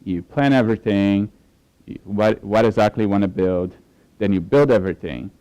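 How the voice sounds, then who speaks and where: Mellow-voiced man with a Portuguese accent. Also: fairly low pitch, normal speed, smooth, low-quality recording. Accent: Portuguese-speaking. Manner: relaxed, with frequent fillers and restarts. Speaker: conference speaker. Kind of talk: technical conference talk.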